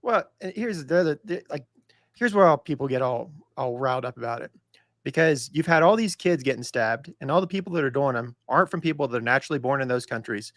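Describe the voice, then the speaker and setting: Podcast co-host from Texas, blunt and argumentative, dry close recording. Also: male voice, medium pitch, animated, quick pace, a bit bright, no room sound. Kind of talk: casual opinion talk.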